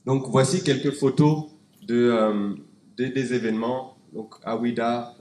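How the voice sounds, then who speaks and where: A man's voice: medium pitch, darkish tone. A man from Canada giving a conference presentation, talking through slides with many fillers and restarts. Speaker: conference speaker. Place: conference hall. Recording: echoey hall, faint background noise.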